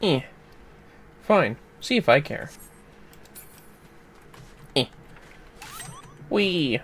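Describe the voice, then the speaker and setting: A man chatting casually while gaming on a livestream, playful, exclamatory and quick to swear. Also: fairly high pitch, sing-song, fairly bright tone, breathy edge. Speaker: gamer on a livestream. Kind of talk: casual gameplay chat.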